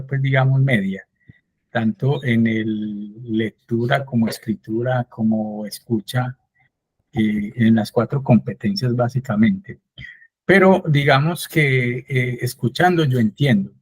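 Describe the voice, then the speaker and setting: A man's voice: medium pitch, a little mellow, slightly rough, dry recording, low-quality recording. A man, a professor on a panel, speaking hesitantly with many fillers. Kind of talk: panel discussion.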